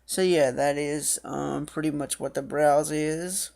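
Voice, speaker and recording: A woman's voice: low-pitched, fairly even intonation, slow pace, vocal fry, darkish tone. A woman giving a casual tutorial walkthrough, reading screen text aloud and then explaining it. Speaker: app reviewer and demonstrator.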